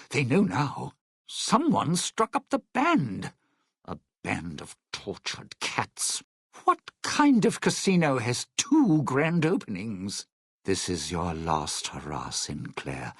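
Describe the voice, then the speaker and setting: An actor voicing a suave, cynical, scheming lounge-singer conman in a video game, with a raspy, gravelly voice and sardonic, old-time showbiz patter. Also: fairly low pitch, fairly bright tone, no room sound, clean studio sound, heavily acted. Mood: wry, then bitter, vengeful. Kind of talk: video game character voice lines.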